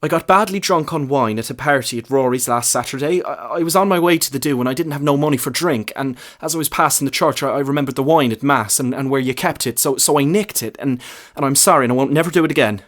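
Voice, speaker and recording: Guy doing a jumpy young churchgoer lad in a story, raised voice pitch, stammery and guilty. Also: quick pace, few pauses, a bit bright, lightly acted. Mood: panicky confession. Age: young adult. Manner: stammering, panicky, earnest. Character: nervous, guilty young male parishioner. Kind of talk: short story character reading.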